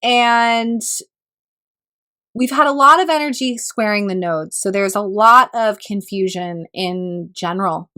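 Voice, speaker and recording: Slow-talking woman recovering from a cold. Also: mid-range voice, fairly bright tone. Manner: slow, reflective, with hesitations and throat clearing. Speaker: astrologer. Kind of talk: solo video talk.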